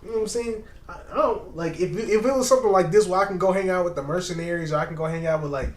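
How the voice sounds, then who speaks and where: A man hosting a reaction video, talking in a casual, animated, slangy way and repeating himself for emphasis. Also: high voice, racing, roomy sound.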